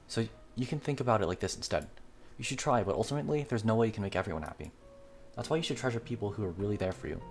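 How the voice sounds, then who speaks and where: A man voicing an upbeat, confident young woman who is a student club president in a visual novel. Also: fairly low pitch.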